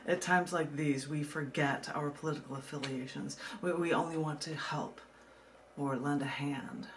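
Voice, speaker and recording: A woman poet from the United States reading her own work and talking casually about it, in a measured way with dramatic pauses. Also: very deep voice, a little hiss or hum. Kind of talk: poetry reading with casual asides.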